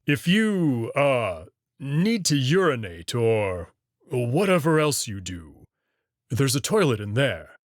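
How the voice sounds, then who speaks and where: A man voicing a guarded male wolf who captains a ship, speaking in a measured, matter-of-fact way while reading a visual novel aloud. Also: mid-range voice, sing-song, no room sound, clean studio sound.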